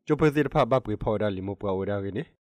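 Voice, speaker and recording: A man's voice: on the low side, racing, darkish tone, no room sound. A man presenting radio news, reading in a newsreader's style.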